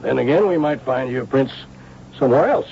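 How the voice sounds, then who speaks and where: An actor playing a shrewd, persistent police inspector, dry, courteous and probing, in a radio drama. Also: male voice, medium pitch, lively intonation, a little fast, gravelly. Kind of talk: radio drama acting and narration. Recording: dull, noisy old radio recording.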